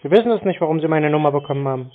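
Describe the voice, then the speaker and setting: A man answering phone calls in a terse, deadpan, mocking way. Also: fairly high pitch, racing. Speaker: call recipient, prank-answering scam calls. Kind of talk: phone conversation. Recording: tinny, narrow phone line.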